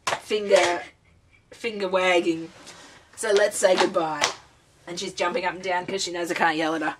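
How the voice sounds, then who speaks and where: A hoarse woman whose voice is nearly gone, pitched a little low, trading dry, teasing remarks in a casual sign-off. A faint hiss sits under her voice. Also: steady tone, moderate pace. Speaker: craft video host.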